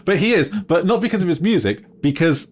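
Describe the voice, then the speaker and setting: A man's voice: sits high, animated, brisk. A man from England co-hosting a casual streamed chat, speaking hesitantly with many ums and uhs. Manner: hesitant, lots of fillers. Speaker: podcast co-host.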